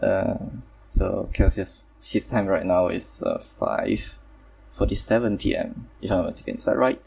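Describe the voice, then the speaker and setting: Deep gravelly man hosting a call. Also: moderate pace, a little mellow, recorded from a distance, low-quality recording. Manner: hesitant, apologetic, many fillers. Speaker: host. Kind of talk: casual online group chat.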